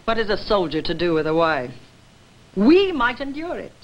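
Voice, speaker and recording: An actress playing a forlorn, defiant wife in a theatrical verse reading, declaiming in measured rhythm. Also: female voice, mid-range voice, big pitch swings, muffled recording, lightly acted. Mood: mournful, indignant. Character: forlorn, defiant wife lamenting war's cost to womanhood.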